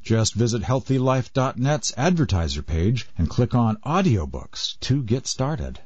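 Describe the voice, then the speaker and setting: Male ad voice, low and husky, lively swoops, muffled lo-fi sound. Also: measured pace, dry recording. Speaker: ad announcer. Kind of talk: scripted ad read.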